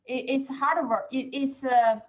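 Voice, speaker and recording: Hesitant, slow-talking woman researcher. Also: high voice, fairly even intonation, dull audio. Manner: hesitant, many fillers, searching for words. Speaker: researcher presenter. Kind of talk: answering questions in a second language.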